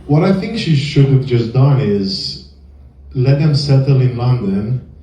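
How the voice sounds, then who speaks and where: A young man from northern Romania with a Romanian accent, performing a halting, filler-heavy deadpan stand-up set in a small comedy club. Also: medium pitch, recorded from a distance. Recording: echoey room, heard from afar, dull sound. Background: audience laughter.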